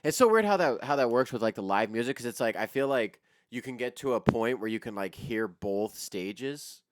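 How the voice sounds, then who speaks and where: Stuffed-up young man, lively casual chatter. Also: mid-range voice, moderate pace. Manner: laughs often, loose and profane. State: stuffed-up nose. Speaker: podcast co-host. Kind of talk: casual chat.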